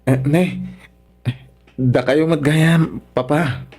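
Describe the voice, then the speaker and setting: A man's voice: on the high side, fairly even intonation, slow, a little mellow, faint music behind. A man playing a humble, earnest young son-in-law of poor means in an Ilocano radio drama, respectful and hesitant, with an Ilocano accent. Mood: deferential.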